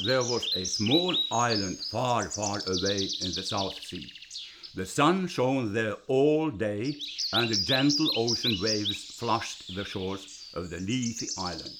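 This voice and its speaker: An older man from Finland with a Finnish accent, slowly reading a fairy tale he wrote for his grandchildren. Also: very noisy. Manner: slow and deliberate, with a lively, storybook pitch. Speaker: storyteller reading his own children's book.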